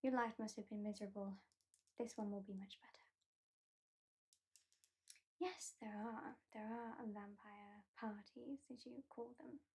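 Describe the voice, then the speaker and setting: British woman as a haughty female vampire, breathy soft whisper, slow and unhurried. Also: mid-range voice, fairly even intonation. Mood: languid. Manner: soft-spoken, drifting into whispers, dryly sardonic. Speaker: ASMR performer. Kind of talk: ASMR roleplay.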